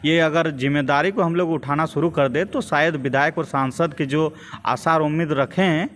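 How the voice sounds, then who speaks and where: A young man with a Jharkhand Hindi accent answering as a political activist in an interview, in a measured, hesitant way with repeated phrases. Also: fairly high pitch, a bit bright. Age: young adult.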